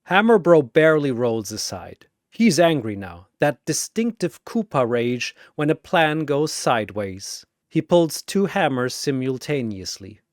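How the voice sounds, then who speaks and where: A man's voice: medium pitch, very animated, a little slow, few pauses, dry recording, studio quality. A man narrating a scripted battle story in a dramatic style.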